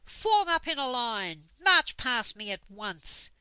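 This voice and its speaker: A woman voicing a cross, fierce old schoolmistress in a storybook reading, with a sharp, commanding delivery and a loud, raised voice. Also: medium pitch, animated, unhurried, no echo. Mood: shouting.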